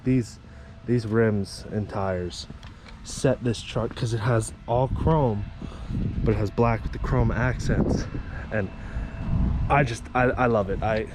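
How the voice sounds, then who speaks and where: A man's voice: on the low side, fairly even intonation, takes it slowly. A man in his twenties chatting casually to the camera as a vlogger, rambling and circling back over his own words. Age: 20s. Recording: loud vehicle and ambient noise.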